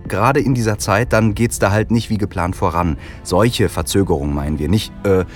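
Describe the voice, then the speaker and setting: Deep-voiced man hosting a podcast. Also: brisk, soft background music. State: has a cold. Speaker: podcast host. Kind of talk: casual podcast chat.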